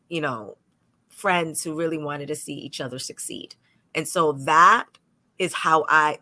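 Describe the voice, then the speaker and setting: A woman lawyer from New York speaking on a panel, telling stories animatedly and acting out what people said. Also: low-pitched. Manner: animated storytelling, acts out quotes. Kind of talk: panel discussion.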